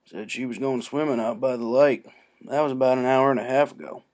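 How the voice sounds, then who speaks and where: A man's voice: mid-range voice, steady tone, brisk, a little mellow, lightly acted. Man as a laconic big farm stallion. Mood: matter-of-fact. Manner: folksy, matter-of-fact. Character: laconic, unhurried big male farm pony.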